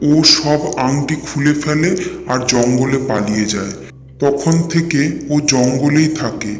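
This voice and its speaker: Village uncle, played by a man, steady, grave, protective, middle pitch, heavy reverb, animated horror. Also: slight background noise, lightly acted. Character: village uncle, knowing, protective elder storyteller. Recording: echoey, long reverb, music behind.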